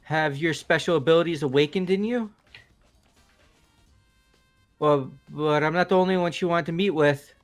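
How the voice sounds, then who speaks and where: A man's voice: a little high, brisk, soft background music, lightly acted. A man voicing a goofy, bumbling, evasive young character in a video game read-through, hesitant and casual. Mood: surprised. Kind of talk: hobby video game voice acting.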